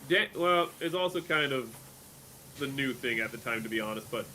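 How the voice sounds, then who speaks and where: A man's voice: medium pitch, lively intonation, normal speed, pushed and bright, a little hiss or hum, rough recording. A man streaming a game, talking casually and animatedly, with a rambling flow full of fillers. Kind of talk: casual chat while gaming.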